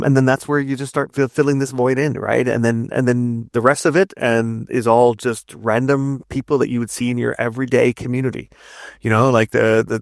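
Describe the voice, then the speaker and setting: A man's voice: medium pitch, gravelly, no room sound, studio quality. A man giving explanatory answers as an interview guest, rambling and repeating himself, often checking in with "right?". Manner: rambling, repetitive, often tags statements with "right?". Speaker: interview guest, narrative designer and writer.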